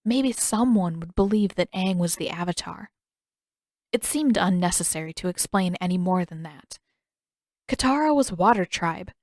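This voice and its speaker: A woman's voice: lowish voice, expressive, normal speed, darkish tone, no echo. Woman narrating fiction, very dry sound. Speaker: audiobook narrator.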